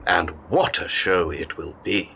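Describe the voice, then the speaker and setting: A man's voice: low-pitched, a little slow, bright, forceful tone, exaggerated voice. An actor in a radio play playing a cryptic, courteous male stranger who speaks formally and slyly. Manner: formal, enigmatic. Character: smooth, cryptic male stranger making an offer, politely sly. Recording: clear background noise, vehicle ambience.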